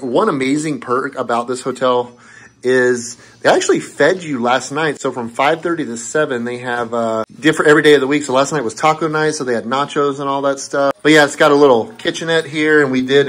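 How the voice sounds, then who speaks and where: A man with an American accent chatting casually to viewers as he hosts a travel vlog. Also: mid-range voice. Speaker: vlogger and host. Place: in and around a car on a road trip. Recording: noisy car and outdoor road ambience.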